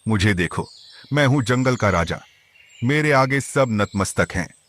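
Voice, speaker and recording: A man's voice: medium pitch, animated, a little slow, a bit bright. A man voicing a boastful, domineering lion king in a children's cartoon, speaking in a pompous, commanding way.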